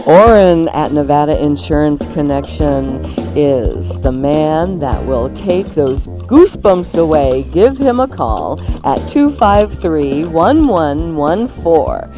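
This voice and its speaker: A woman hosting a radio show and reading ads in a sultry, playful, drawn-out way. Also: sits low, sing-song. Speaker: radio show host and ad reader. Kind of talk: live-read radio ad patter. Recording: music behind the voice. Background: music.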